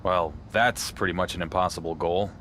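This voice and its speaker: An actor playing a determined underdog wrestling champion in a video game, blunt and sardonic. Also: male voice, deep voice, steady tone, normal speed, a bit bright, a little hiss or hum. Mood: reflective, dry. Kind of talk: video game cutscene acting.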